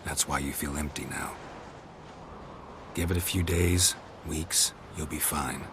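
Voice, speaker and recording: A grizzled monster hunter, very deep voice, terse and dry, noisy game audio. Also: male voice, fairly even intonation, a little slow. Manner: terse, dry and matter-of-fact. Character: gruff grizzled male monster hunter, calm.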